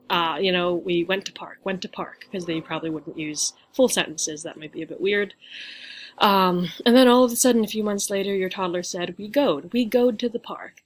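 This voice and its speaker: A young woman giving a relaxed grammar lesson over slides, talking into a webcam at home. Her voice sits a little low, and she chats loosely with plenty of fillers and playful asides. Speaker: teacher. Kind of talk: casual slide lecture.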